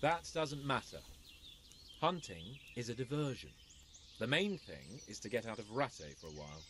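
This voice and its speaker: A young medieval nobleman from a video game, imperious and flippant. His fairly high voice swoops dramatically up and down as he condescends. Birdsong and clear outdoor noise sit behind him. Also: sing-song, normal speed, a bit bright. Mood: haughty. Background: birdsong and outdoor ambience.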